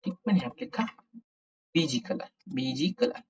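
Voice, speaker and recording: A man's voice: medium pitch, big pitch swings, normal speed, darkish tone, breathy edge. A man with an Indian accent giving a casual coding tutorial, thinking aloud playfully and mixing Hindi with English. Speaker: programming tutorial host. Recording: dull lo-fi microphone sound.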